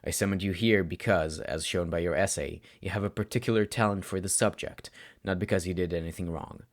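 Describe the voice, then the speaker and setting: Guy doing a tired gruff teacher in an audio story, low voice, careful and gentle with a student. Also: steady tone. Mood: firm, gentle. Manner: measured, careful, deliberate. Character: gruff but gentle, weary adult male teacher.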